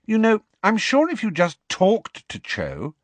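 A man voicing a thoughtful, caring teenage girl in a storybook, gentle but persistent. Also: high-pitched, huge pitch swings, unhurried, no room sound, voice pushed up, exaggerated voice. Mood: quiet concern.

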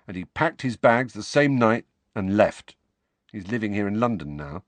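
A man's voice: lowish voice, very animated, normal speed, no echo. A man reading an audiobook, voicing a glum teenage boy who talks in a casual, blunt, slangy way. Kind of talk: audiobook character voice.

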